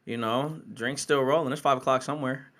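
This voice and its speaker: A man's voice: mid-range voice, steady tone, a little fast, a bit bright. A man in his twenties hosting a casual podcast chat, upbeat and hyping the others up. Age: 20s. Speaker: podcast host.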